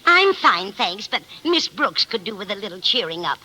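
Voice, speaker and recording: A woman's voice: mid-range voice, big pitch swings, normal speed, pushed and bright. An actress playing a kindly, fussing older landlady, earnest and slightly dotty, in a 1950s radio comedy. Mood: chatty, motherly. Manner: motherly, earnest, naive. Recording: noisy old radio recording.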